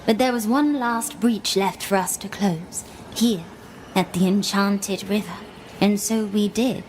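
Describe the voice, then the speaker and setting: A woman's voice: medium pitch, unhurried, a little hiss or hum, natural voice, low-quality recording. Actress as a solemn, grieving blind fantasy woman. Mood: sorrowful. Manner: hushed, solemn, lyrical storytelling in short phrases. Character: grieving, fearful blind woman, gentle keeper of old magic. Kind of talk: video game character acting.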